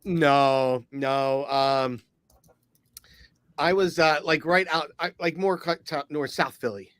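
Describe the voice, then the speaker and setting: A man's voice: on the high side, fairly bright tone, clean tone, dry recording. Rambling middle-aged man from Philly. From Philadelphia. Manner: rambling storyteller, quick asides. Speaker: podcast co-host. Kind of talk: casual podcast chat.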